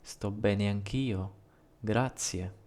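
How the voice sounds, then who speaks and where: A man with an Italian accent, a native Italian speaker, giving a measured, carefully paced language lesson and reading short dialogue lines aloud. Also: fairly low pitch, darkish tone. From Italy. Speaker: language teacher. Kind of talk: slow scripted language lesson.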